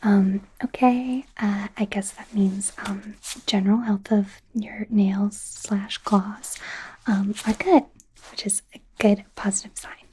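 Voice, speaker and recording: A woman voicing an awkward, gentle werewolf doctor in a hesitant, breathy whisper for an ASMR roleplay. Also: mid-range voice, fairly even intonation, a little hiss or hum, lightly acted, low-quality recording. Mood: gently clinical. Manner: hesitant, halting, softly reassuring. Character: awkward, curious young female werewolf doctor, gentle and apologetic. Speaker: ASMR performer.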